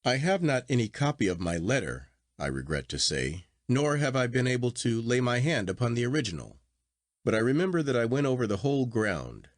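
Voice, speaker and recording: Male audiobook narrator, lower register, very varied intonation, expressive literary reading, dry close sound. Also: dry recording.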